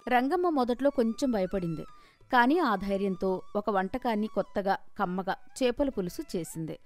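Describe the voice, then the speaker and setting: A woman's voice: medium pitch, lively intonation, moderate pace, faint music behind. A woman storyteller reading scripted narration for a moral story.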